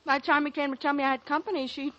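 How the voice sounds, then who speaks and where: A coy, breezy society lady with a high voice who murmurs little replies. The sound is dull and lo-fi, like an old radio recording. Her manner stays light and teasing throughout. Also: steady tone, racing, pushed and bright. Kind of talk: 1940s radio acting.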